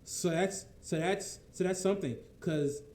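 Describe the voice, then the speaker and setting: A man's voice: sits high, unhurried, a little mellow, echoey room, a little hiss or hum. A man giving casual reaction commentary, stammering and repeating his words for emphasis. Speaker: reaction video host.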